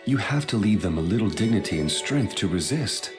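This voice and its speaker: An actor playing a suave, calculating prince and indulgent elder brother in an anime audio drama, speaking in a measured, courtly, quietly amused way. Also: male voice, lowish voice, lively intonation, over music, lightly acted. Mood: composed, persuasive. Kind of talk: English-dub anime audio drama acting.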